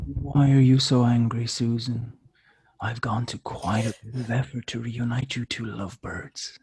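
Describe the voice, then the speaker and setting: An actor playing a wicked supernatural murderer, taunting with mock reasonableness in a screenplay read. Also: male voice, mid-range voice, level tone, unhurried, a little mellow, slight background noise, natural voice.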